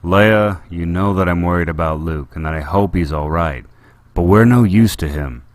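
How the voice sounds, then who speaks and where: A man's voice: on the low side, normal speed, no echo, natural voice. Han Solo, a man doing a brash smuggler captain. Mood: firm. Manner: blunt, gruff, firm.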